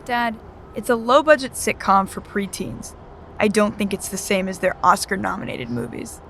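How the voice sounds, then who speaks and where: A woman's voice: medium pitch, normal speed, a bit bright, slight background noise, natural voice. A woman playing an exasperated, practical seventeen-year-old daughter in an audio drama, dry and impatient. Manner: dry, impatient, matter-of-fact.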